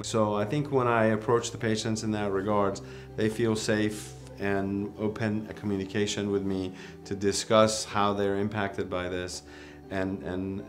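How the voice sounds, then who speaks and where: Male surgeon, forties, from Iran, lower pitch, calm earnest profile talk over faint guitar. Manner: measured, earnest, with occasional fillers. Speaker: orthopedic surgeon. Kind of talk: calm, reflective first-person profile talk. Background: faint guitar music.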